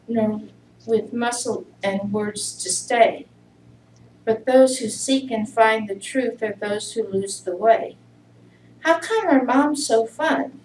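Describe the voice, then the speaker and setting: A woman reciting poetry at a church service in a measured, deliberate way. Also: mid-range voice. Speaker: congregation member reading inmates' poetry. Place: church service, indoor room. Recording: roomy, noisy pickup far from mic.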